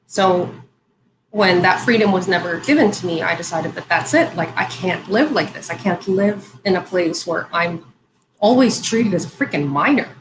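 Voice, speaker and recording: A woman in her thirties from Saudi Arabia talks in a low voice, chatting as a guest on a livestream. She fills her speech with "um"s and restarts sentences as she goes. The room sounds echoey, with faint ambient noise behind her. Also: expressive, normal speed. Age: 30s. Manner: conversational, many fillers and restarts. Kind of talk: casual livestream interview.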